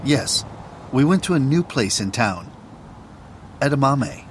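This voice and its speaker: A man who announces the tracks and reads the lines of a course dialogue in a slow, careful manner. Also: medium pitch, lively intonation, slight background noise. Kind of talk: scripted textbook audio reading.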